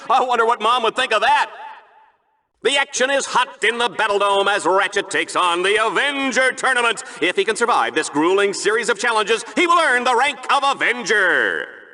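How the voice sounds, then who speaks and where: An actor playing a bombastic, smug, bloodthirsty game-show sports announcer in a video game, with theatrical, mock-sincere delivery. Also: male voice, high voice, bright, forceful tone, full character voice. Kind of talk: hyped-up arena commentary for a video game.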